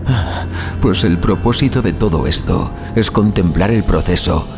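Man acting a deep-voiced, terrified doomed passenger. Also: music in the background. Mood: fearful, morbid fascination. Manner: anguished, breathy sighs, dramatic pauses. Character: terrified, fatalistic male car passenger awaiting his death. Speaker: narrator of audio fiction. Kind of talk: dramatised first-person horror monologue.

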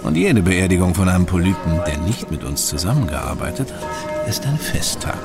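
A man's voice: deep voice, big pitch swings, normal speed, over loud music. An actor in a radio play playing a vengeful male villain, theatrical and gloating, who hates policemen. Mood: gloating, menacing.

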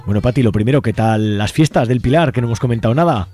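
A man's voice: medium pitch, fast, warm tone, soft background music. A man hosting a radio show in an informal, chatty, colloquial way. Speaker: radio host. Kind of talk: casual radio presenting. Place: radio studio.

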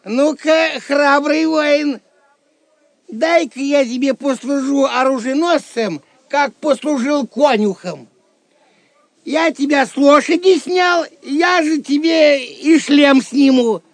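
Very high-voiced cheeky jester. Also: male voice, slow pace, dry recording, full character voice. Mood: mocking. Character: cheeky, nimble court jester, loyal and sharp-eyed. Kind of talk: theatrical audio drama acting.

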